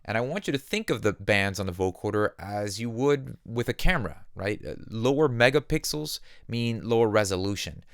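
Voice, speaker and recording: Male tutorial host, smooth lowish voice, plain explanatory delivery, very dry close recording. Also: expressive, moderate pace, few pauses, no echo. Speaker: music production instructor. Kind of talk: conversational software tutorial.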